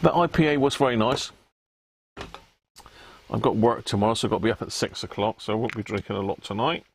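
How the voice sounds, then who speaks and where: A man's voice: on the low side, quick pace, vocal fry, slight background noise. A British man hosting a livestream, chatting casually and colloquially as he thinks aloud. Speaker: livestream host. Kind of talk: casual rambling chat to live viewers.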